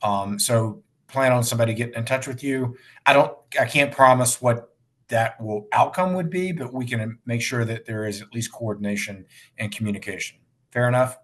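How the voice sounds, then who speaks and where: An American man chairing a formal hearing, speaking in a measured, courteous, procedural way. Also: medium pitch, a bit bright. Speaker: commission chair. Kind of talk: formal procedural meeting talk.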